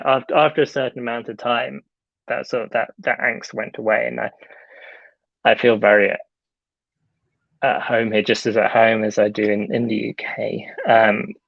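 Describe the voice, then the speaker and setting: A young man answers interview questions over a video call, halting and full of fillers and restarts. His voice has a gravelly edge. The sound is dry and a little dull. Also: medium pitch, fairly bright tone, no echo. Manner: hesitant, many fillers and restarts. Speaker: film director.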